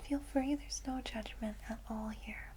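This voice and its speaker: A woman playing a gentle, welcoming vampire in a whispered ASMR roleplay, soft, breathy and reassuring as she guides a newcomer. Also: on the high side, fairly even intonation, a little hiss or hum, natural voice. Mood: gentle, encouraging. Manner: reassuring, unhurried, hushed.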